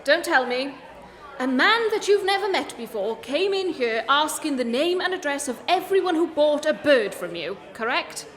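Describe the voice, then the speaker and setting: Woman doing a posh-worded victorian detective, high voice, brisk n commanding, echoey hall. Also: big pitch swings, a bit bright, some chatter behind. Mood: inquisitive. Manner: formal, precise, commanding. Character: Victorian gentleman detective, brisk, shrewd and articulate. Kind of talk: comic radio play acting. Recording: long hall reverb.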